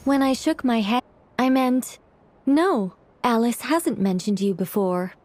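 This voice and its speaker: A woman's voice: on the high side, a little mellow, dry recording, close to an everyday voice. A woman voicing a polite, ladylike young angel mage in a game, formal in her wording and easily flustered. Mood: composed, courteous. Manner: formal, genteel, measured wording. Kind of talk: formal game dialogue acting.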